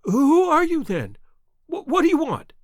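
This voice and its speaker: A man's voice: sits high, wildly swooping pitch, bright, forceful tone, no echo, studio quality, voice pushed up, exaggerated voice. A man voicing a nervous, cringing little man in an audiobook, with a thin, whining, quivering voice. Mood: frightened. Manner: anxious, halting, eager to please.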